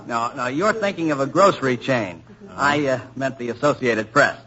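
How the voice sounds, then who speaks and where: Guy playing a cocky slangy newsman, husky, bouncy tone, old muffled radio drama. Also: mid-range voice, lively intonation, normal speed, heavy background noise, close to an everyday voice. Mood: brash. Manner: brash, wisecracking, slangy. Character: brash young male newspaper reporter. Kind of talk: 1940s radio acting.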